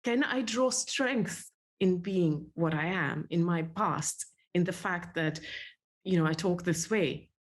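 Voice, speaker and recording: A woman from the former Soviet Union, a podcast guest who speaks in a thoughtful way, pausing to search for words. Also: on the low side. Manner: thoughtful, many fillers and restarts while searching for words. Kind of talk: reflective interview talk.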